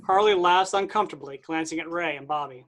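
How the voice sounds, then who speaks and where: A reader rattles off the stage directions at a brisk clip. The voice sits at a middle pitch and stays matter-of-fact throughout. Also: a bit bright, a little hiss or hum.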